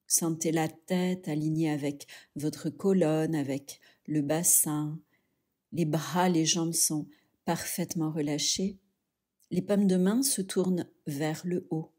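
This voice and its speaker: A woman leading a guided yoga relaxation, speaking softly and soothingly in short phrases with pauses. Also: sits low, breathy edge. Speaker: yoga nidra instructor.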